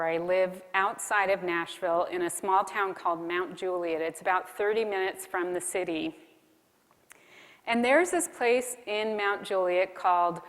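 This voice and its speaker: A woman speaks as a keynote presenter, with a mid-pitched voice that carries through a big room with long reverb. She explains her points deliberately and sets off key phrases with emphatic pauses. The delivery is that of a prepared conference talk. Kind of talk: conference presentation on marketing to a live audience. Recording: reverberant hall sound.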